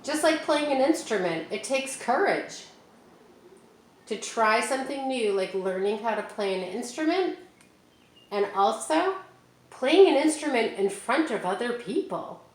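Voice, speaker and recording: A woman reading a picture book aloud to children, stopping often for gentle, chatty asides. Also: on the high side, sing-song, a little slow. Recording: echoey room with faint hiss.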